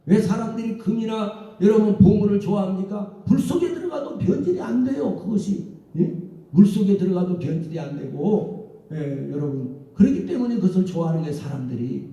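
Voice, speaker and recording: A man preaching from the pulpit with a very dark, mellow voice, breaking into repeated calls of hallelujah. He sounds far from the mic in a big, reverberant church sanctuary, with a long echo trailing each phrase. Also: high voice, big pitch swings, fairly quick, muffled recording. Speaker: pastor. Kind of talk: sermon and church announcements.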